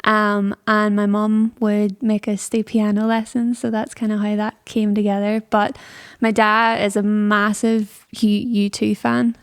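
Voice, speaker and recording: Clear, even-toned young Northern Irish woman. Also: medium pitch. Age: young adult. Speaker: musician being interviewed. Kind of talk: casual chat.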